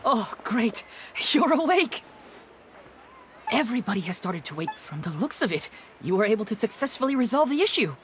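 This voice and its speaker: Businesslike game character, kinda high lively voice, slight noise behind. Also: female voice, normal speed. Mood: relieved. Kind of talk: game voice acting.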